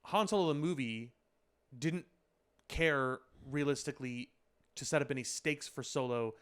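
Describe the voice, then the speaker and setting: A man's voice: medium pitch, moderate pace, fairly bright tone, clear, resonant tone. A man in a casual podcast conversation, explaining his points in an emphatic way. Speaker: podcast host. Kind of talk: casual chat about pop culture.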